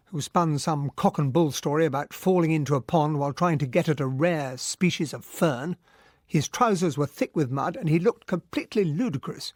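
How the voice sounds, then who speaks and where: An actor playing an earnest English army captain who narrates a radio mystery in a well-spoken, expressive way. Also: male voice, a little high, no echo, studio quality, close to an everyday voice. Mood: wry disdain. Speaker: narrator and character in a radio drama. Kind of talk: first-person radio drama narration.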